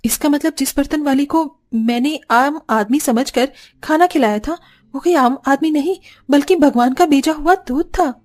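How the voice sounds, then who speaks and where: Woman playing a high-voiced humble, earnest mother. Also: moderate pace, a little mellow, lightly acted. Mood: puzzled. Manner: earnest, polite. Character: kind, humble, devout poor young mother. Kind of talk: Hindi cartoon story voice acting.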